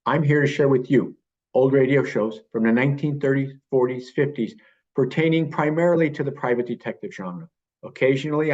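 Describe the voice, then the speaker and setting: A man hosts a podcast of old radio detective shows and presents the next episode. He talks in a promotional, repetitive way, with his pitch in a middling range. The sound is a little dull. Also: darkish tone. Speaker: podcast host. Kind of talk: podcast introduction.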